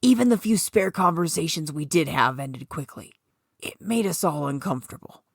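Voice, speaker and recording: A woman's voice: low-pitched, animated, moderate pace, creaky, breathy edge, no echo, studio quality. A woman narrating a supernatural short story from a script, as its author.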